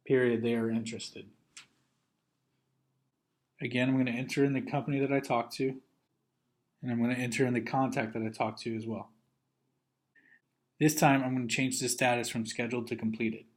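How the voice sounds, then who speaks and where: A man narrates a software training video with a mid-range voice. He works through instructions methodically, one step at a time, in the manner of a trainer. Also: mid-range voice, level tone, normal speed, pauses often.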